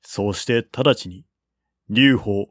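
Male narrator for literary historical fiction: unhurried, formal, mid-pitched, close dry recording. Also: dry recording. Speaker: audiobook narrator.

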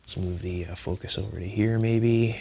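A man hosting a hands-on electronics teardown, explaining things in an unhurried, methodical, conversational way. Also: lowish voice, fairly even intonation, creaky, dark tone. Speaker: host, electronics restorer and teacher. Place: indoor electronics workshop, at the bench.

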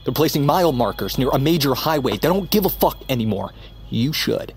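An actor playing a gruff, protective young American man, troubled and sardonic, in a naturalistic audio drama. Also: medium pitch, animated, brisk, soft background music, close to an everyday voice. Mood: argumentative, alarmed. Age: young adult.

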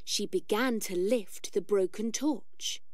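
A woman reading a children's storybook aloud as scripted narration, deliberate and carefully phrased. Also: medium pitch, no echo, clean studio sound. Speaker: storybook reader for young learners.

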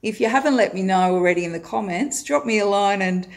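A woman's voice: medium pitch, lively intonation, quick pace, creaky. A middle-aged Australian woman at home, chatting casually as she films a grocery haul from above on her phone, with plenty of "uh" fillers. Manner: friendly, chatty, with frequent "uh" fillers. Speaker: vlogger. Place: home, indoors, at a table of groceries.

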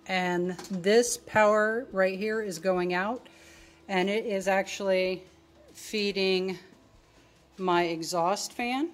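A middle-aged woman giving a casual, plainspoken, step-by-step tutorial as a DIY video host. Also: medium pitch. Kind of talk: casual hands-on tutorial narration.

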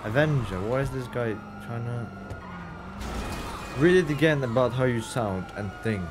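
A man's voice: medium pitch, normal speed, noisy background. A young man streaming a game live, reading chat aloud and answering it with incredulous, laughing banter.

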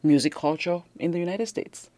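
A woman's voice: on the low side, moderate pace, no echo. Encouraging woman host in a dry studio. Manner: warm, encouraging, frequent affirmations. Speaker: interview host. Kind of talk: casual interview talk. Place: radio studio. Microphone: studio boom mic.

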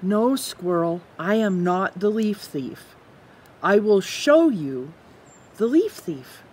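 A woman voicing a patient, sensible bird who reasons in a measured, reassuring way in a children's storybook. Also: medium pitch, animated, a little mellow, slightly rough, pitched below the performer's own voice. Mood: patient, firm. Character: patient, sensible bird calming an anxious friend. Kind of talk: children's storybook read-aloud.

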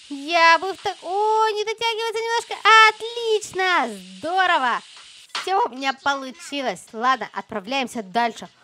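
High, animated woman playing along for kids. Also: slight background noise. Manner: exclamatory, chatty, addresses the young viewer directly. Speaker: host of a children's gaming channel. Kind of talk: playful game play-along commentary for children.

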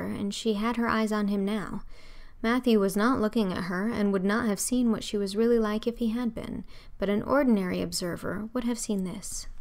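A woman reading scripted audiobook narration, expressive and carefully phrased. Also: medium pitch, creaky.

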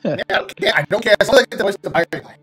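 A man's voice: high-pitched, lively intonation, racing, pushed and bright. A young man chatting casually as he plays a game and does an amateur fandub. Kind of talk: casual gaming chat.

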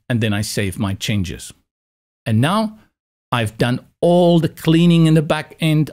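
A man's voice: medium pitch, a little mellow. A South African man whose first language is Afrikaans, explaining a website setup step by step in a patient screen-recorded tutorial. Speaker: web design instructor.

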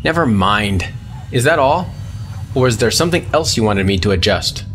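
Man playing a curt starship chief, animated delivery. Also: lowish voice, moderate pace, a little hiss or hum. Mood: irritated. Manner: curt, sarcastic. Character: gruff, impatient male starship engineering chief. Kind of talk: sci-fi audio drama acting.

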